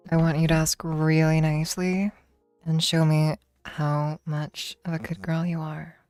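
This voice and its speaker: A woman streamer with a slightly hoarse voice, talking slowly and teasingly in a relaxed livestream chat. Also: deep voice, no echo, faint music behind. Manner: slow, teasing, drawn-out praise.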